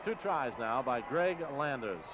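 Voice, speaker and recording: A man's voice: mid-range voice, lively intonation, a little slow, dull audio, very noisy. An American man calling live basketball play-by-play as a radio announcer in clipped, running phrases on a 1960s AM broadcast. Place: courtside in a large exposition hall.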